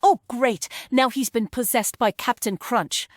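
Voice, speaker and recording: A woman's voice: a little high, unhurried, no room sound, clean studio sound, lightly acted. A woman playing a scheming, sarcastic villainess in a stage table read, sly, mocking and melodramatic. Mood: exasperated.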